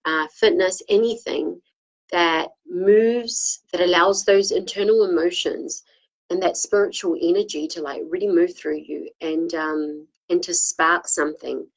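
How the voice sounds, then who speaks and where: Low-voiced woman with a slight cold. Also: steady tone, measured pace, low-quality recording. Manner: rambling, many fillers and restarts, frequent throat clearing. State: slight cold, throat a bit affected. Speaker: spiritual talk host. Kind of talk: casual spoken talk, answering viewers' comments.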